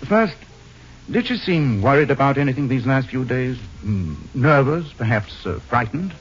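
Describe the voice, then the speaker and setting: Suave detective, husky, lively intonation, urbane, droll, unflappable, 1940s radio drama, dull old recording. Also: male voice, medium pitch, normal speed. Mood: polite, inquiring. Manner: urbane, droll, dryly teasing. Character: suave, wry, unflappable male amateur sleuth.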